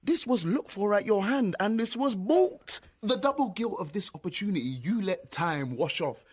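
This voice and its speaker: A witty schemer in radio Shakespeare. His voice is lightly high and closely recorded with little room, flattering and persuading in articulate, mock-earnest phrases. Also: normal speed, husky, dry recording. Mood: mock-earnest goading. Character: sly, witty male conspirator flattering a foolish knight. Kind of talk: Shakespeare radio drama acting.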